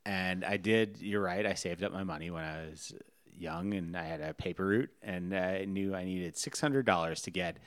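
A man's voice: low voice, normal speed, clear, resonant tone, no echo. A man co-hosting a casual chat, quizzing his partner in a playful, rambling way.

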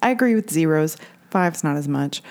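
Lower-pitched, chatty American woman in her forties. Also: animated, moderate pace, darkish tone. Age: 40s. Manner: chatty, candid, profane. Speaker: podcast co-host. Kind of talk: casual chat.

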